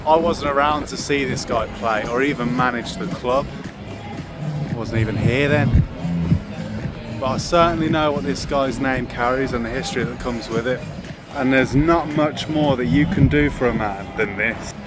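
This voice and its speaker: A man's voice: mid-range voice, moderate pace. Young man, chatty self-mocking football vlogger, outdoors on a phone, music behind. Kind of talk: casual vlog to camera. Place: outdoors around a football stadium.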